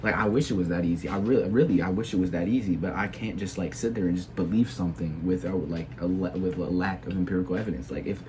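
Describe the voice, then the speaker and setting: Low-voiced teen boy, sick and halting. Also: background noise. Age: teens. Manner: hesitant, heavy with filler words and restarts. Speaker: video creator. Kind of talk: casual opinion monologue.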